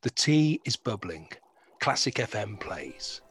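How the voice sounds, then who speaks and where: A man's voice: fairly low pitch, sing-song, slow pace, fairly bright tone, soft background music. A man reading stage directions as scripted narration for an audio drama.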